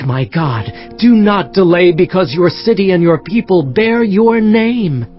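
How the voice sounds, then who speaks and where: A man's voice: medium pitch, lightly acted. A man playing a devout, earnest Hebrew prophet in a dramatized audio Bible, with a fervent, reverent delivery. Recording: slightly dull audio, music underneath. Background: background music.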